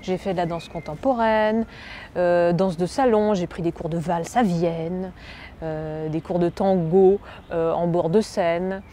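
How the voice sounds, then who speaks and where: A young woman from France, an actress, speaking in a slightly low, mellow voice. She muses aloud, halting often and circling back over her words. Faint background noise sits under her voice. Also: steady tone. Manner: musing, halting, many fillers and restarts. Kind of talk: self-presentation interview.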